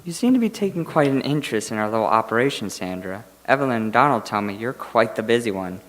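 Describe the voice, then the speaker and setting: Man acting smooth, menacing dentist in echoey hall. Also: medium pitch, very animated, fairly bright tone. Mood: courteous, probing. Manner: courteous, measured, insinuating. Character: smooth, quietly menacing male dentist running a suspect practice. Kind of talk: stage radio drama acting. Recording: echoey hall, live stage performance.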